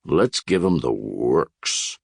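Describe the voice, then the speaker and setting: A man's voice: a bass voice, sing-song, takes it slowly, gravelly, husky, dry recording, studio quality, voice pushed down, exaggerated voice. A man voicing Thunder as a menacing, growling storm spirit in a storybook.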